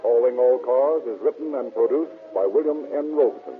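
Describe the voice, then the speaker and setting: Low-fi old radio narrator, man, over music. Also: normal speed. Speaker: narrator signing off. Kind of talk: formal 1930s radio sign-off. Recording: narrow-band old radio recording.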